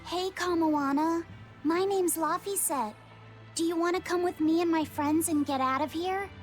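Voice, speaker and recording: High-voiced, gentle little boy. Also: normal speed, soft background music. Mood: kindly. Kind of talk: video game voice acting.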